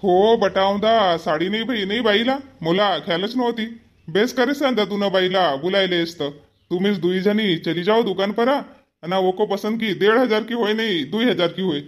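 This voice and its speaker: Man acting a high-voiced, blunt village husband. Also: moderate pace, natural voice. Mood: agreeable. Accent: Powari. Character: impatient but agreeable village husband and headman. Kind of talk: cartoon comedy dialogue.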